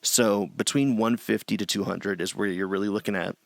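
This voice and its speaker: A middle-aged man with a low voice gives a loose, chatty screen-share tutorial from a small home room, often restarting his sentences. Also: fairly even intonation, fairly quick, creaky, dry recording, clean studio sound. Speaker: streamer teaching audio setup.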